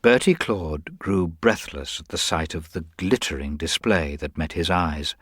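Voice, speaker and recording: A man giving a dramatic, expressive narration of a crime story. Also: low-pitched, measured pace, slightly rough, no room sound, studio quality.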